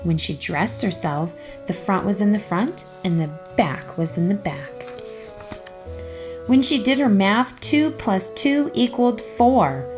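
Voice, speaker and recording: A woman narrating a children's storybook aloud in an expressive, careful storytelling manner. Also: medium pitch, measured pace, over music.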